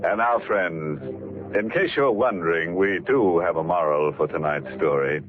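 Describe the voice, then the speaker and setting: An actor playing a ghoulishly jovial horror show host, sardonic and drawn out, with macabre glee. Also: male voice, deep voice, moderate pace, background noise, lightly acted. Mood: sly glee. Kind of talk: 1940s radio horror hosting. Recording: lo-fi vintage radio with music behind.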